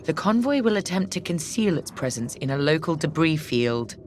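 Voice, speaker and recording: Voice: medium pitch, very animated, moderate pace, a little hiss or hum, close to an everyday voice. An actor playing a stern, controlled Imperial commander in a space game, giving formal mission briefings in a clipped, authoritative way. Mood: cold, commanding.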